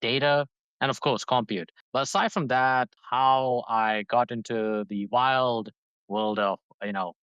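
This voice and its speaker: A man's voice: mid-range voice, steady tone, a little slow, a bit bright, smooth, no room sound, highs cut off. A man from Dubai in his thirties, a podcast guest talking casually and rambling, with lots of fillers and restarts. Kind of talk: casual interview talk.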